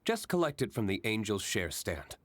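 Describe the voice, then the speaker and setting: A reserved young master of a winery in a video game. His voice sits low but moves expressively. He speaks politely and with measured understatement. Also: sing-song, heavy background noise. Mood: calm, helpful. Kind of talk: video game character voice acting.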